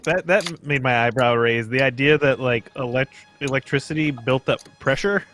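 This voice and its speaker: A man's voice: medium pitch, normal speed, a bit bright, a little hiss or hum. A young adult man in casual conversation, amused and informal, somewhat hesitant. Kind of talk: casual chat.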